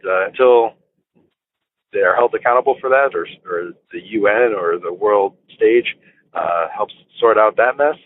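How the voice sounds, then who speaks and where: An American man leaving a voicemail as a listener, giving informal political commentary in a measured, halting, deliberative way. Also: fairly low pitch, slight background noise. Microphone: phone. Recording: tinny band-limited phone voicemail line.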